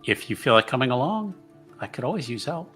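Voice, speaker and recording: A man playing a tabletop roleplaying game, casually improvising his character's actions with frequent hesitant pauses. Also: mid-range voice, big pitch swings, a bit bright, soft background music. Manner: hesitant, pausing often.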